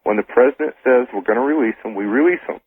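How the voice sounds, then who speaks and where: A man giving an informal first-hand account as a guest on a radio interview, halting and repeating phrases, over a telephone. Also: mid-range voice, slightly rough, no echo. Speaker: interview guest, former military intelligence serviceman. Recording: thin phone line on old radio.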